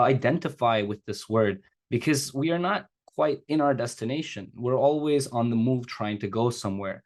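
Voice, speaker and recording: Man, middle pitch, casual earnest talk, video call. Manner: casual, earnest, conversational with a few laughs. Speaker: secondary teacher and speaker. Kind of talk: personal talk to an audience. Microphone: remote video call.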